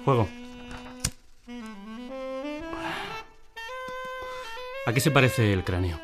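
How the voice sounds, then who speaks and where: A man's voice: lowish voice, fast, over music, natural voice. An actor from Spain playing an imperious, impatient theatre director in a radio play, curt and demanding, repeating his orders in exasperation. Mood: curt, probing.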